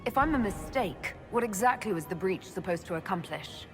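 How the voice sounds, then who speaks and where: A woman's voice: mid-range voice, animated, brisk, lightly acted. An actress playing a composed, blunt young female elf mage leader in a video game, with a direct, unflustered delivery. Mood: challenging. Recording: long hall reverb, music behind.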